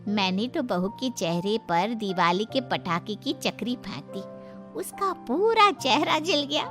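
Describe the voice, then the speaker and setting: A woman's voice: on the high side, animated, measured pace, soft background music. A woman voicing a cruel, gossiping female friend in a cartoon story, sly and gloating.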